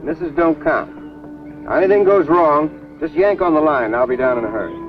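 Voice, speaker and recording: Male actor as cocky helpful diving buddy, higher-pitched, wry, distant in an old film mix with faint music. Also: moderate pace, highs cut off, lightly acted. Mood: urgent, cautioning. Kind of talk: 1950s B-movie acting.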